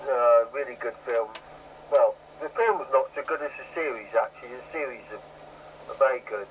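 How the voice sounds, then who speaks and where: A man's voice: mid-range voice, lively intonation, normal speed, narrow, tinny audio, a crowd in the background. A man having a casual chat over CB radio. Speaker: CB radio hobbyist. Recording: noisy CB radio transmission.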